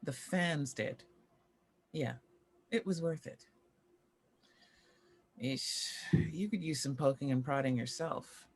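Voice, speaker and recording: A woman playing a bitter, sharp-tongued former child star in a drama table read. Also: low-pitched, slow, pauses often, breathy edge, heavily acted. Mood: dry.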